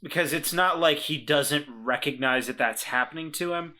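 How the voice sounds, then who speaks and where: Bright-voiced man, halting and casual. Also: a little high. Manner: hesitant, repeats words while thinking aloud. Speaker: player in a tabletop role-playing game. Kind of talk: casual improvised game chat.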